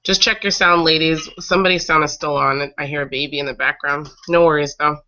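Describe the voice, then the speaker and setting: A woman hosting a casual live webcast, slightly raspy from getting over a cold, talking haltingly and often pausing to regroup mid-sentence. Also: sits low, steady tone, pushed and bright. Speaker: host of an online breastfeeding advocacy show. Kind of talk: casual live webcast chat, recounting stories. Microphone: online video call. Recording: dull lo-fi webcast audio.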